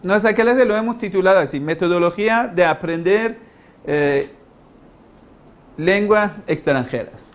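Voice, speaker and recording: Male teacher, foreign-accented non-native speaker, slightly high voice. Informal, didactic lecture delivery, halting, with emphatic key words. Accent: foreign, non-native Spanish. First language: not Spanish. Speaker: language-learning teacher, lecturer.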